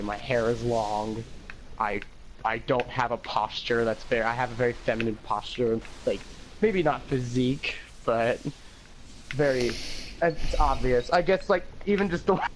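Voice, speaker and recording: A teenage man chatting on a livestream, with a higher-pitched voice, an occasional lisp and many "like"s and curses. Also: moderate pace, background noise. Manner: talkative, rambling with many "like"s, swearing, animated laughs. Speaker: livestream host. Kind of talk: casual chat on a game livestream.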